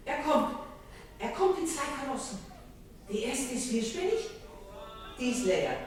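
An actress playing an excited, gossipy duenna who calls out a breathless running report in a 1960s radio drama. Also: female voice, high-pitched, unhurried. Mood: thrilled. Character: excited, gossipy chaperone duenna calling from a window. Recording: echoey, distant, hissy 1960s radio recording.

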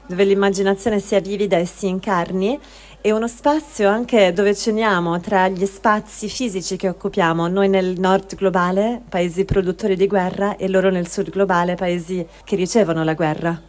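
Woman presenter introducing a reading, earnest and deliberate, mid-range voice. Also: mid-range voice, steady tone, a little mellow. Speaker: theatre project presenter. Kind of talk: spoken introduction to a live reading.

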